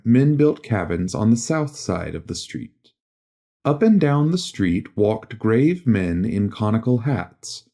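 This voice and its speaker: A man narrating a literary audiobook in a measured, solemn storytelling manner. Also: on the low side, warm tone.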